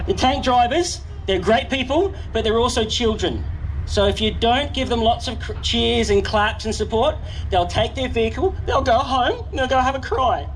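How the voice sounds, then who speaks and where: Australian man, very high voice, cheeky crowd warm-up on a PA mic, noisy outdoor crowd and engines. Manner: cheeky, teasing banter with the crowd. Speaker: event announcer. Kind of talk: joking crowd warm-up over a PA. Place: outdoor grandstand at a tank show. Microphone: handheld PA mic. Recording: loud outdoor crowd and vehicle noise. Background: crowd chatter and engines.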